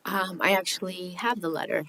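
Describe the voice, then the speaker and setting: A woman's voice: on the low side, fairly quick, sharp tone, a little hiss or hum, rough recording. A woman answering questions at a city hearing, hesitant and a little defensive, with many ums. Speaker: respondent at a hearing.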